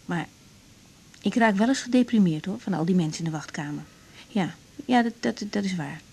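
An actress playing an idealistic young doctor in a radio drama, speaking candidly with reflective hesitations. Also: female voice, medium pitch, lively intonation, normal speed, vocal fry, a little mellow, husky. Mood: pensive. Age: young adult. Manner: hesitant, reflective, self-searching. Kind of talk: naturalistic radio drama dialogue.

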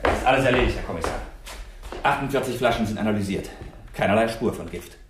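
A man's voice: fairly low pitch, lively intonation, fast, background noise, natural voice. A man playing a courteous townsman speaking confidentially in a 1960s German radio crime drama. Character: courteous male townsman reporting to a commissioner. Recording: roomy early-1960s radio studio recording.

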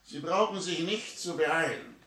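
A man's voice: fairly high pitch, a little slow, heavy background noise. A man acting a smugly courteous, manipulative police minister with mocking politeness in a 1950 radio play. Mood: smug, patient. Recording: vintage 1950 radio recording.

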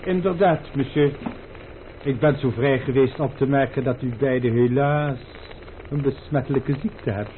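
An actor playing a polite, drily impudent manservant with a formal, deferential manner in an old radio drama. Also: male voice, mid-range voice, moderate pace, narrow, tinny audio, lightly acted. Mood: dry, droll. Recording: thin, faintly noisy old radio recording.

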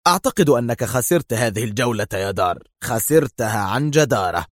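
A man's voice: medium pitch, big pitch swings, fast, no room sound, studio quality. A man voicing a confident, sarcastic secret agent hero in a storybook thriller, taunting and decisive. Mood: mocking triumph. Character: mocking, supremely confident male Egyptian secret agent hero.

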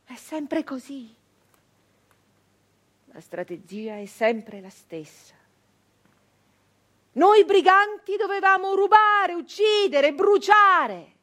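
An actress playing a fierce, embittered nineteenth-century brigand woman in an intense, declamatory theatrical monologue with dramatic pauses. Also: very high voice, full character voice. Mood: bitter, then angry, declaiming. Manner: intense, declamatory, with slow pauses and hushed drops. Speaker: stage actress.

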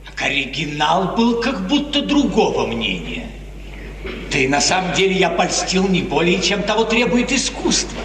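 An actor playing a proud, eloquent court painter with a deferential, florid manner in 1950s theatrical radio drama style. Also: male voice, high-pitched, very animated, normal speed, a crowd in the background. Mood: deferential, earnest. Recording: echoey hall, distant mic, audience noise.

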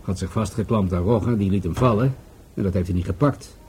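An older police commissioner in a radio drama, sceptical and used to command. His voice is dark and husky, and he questions others in a deliberate, authoritative way. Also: on the low side, soft background music. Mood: measured, sceptical. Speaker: actor in a radio play. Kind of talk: Dutch radio play acting.

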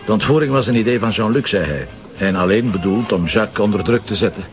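A man's voice: on the low side, animated, fast talker, natural voice. A man with a Flemish accent playing a self-assured, teasing police commissioner in an audio drama, explaining things wryly. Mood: explaining, earnest.

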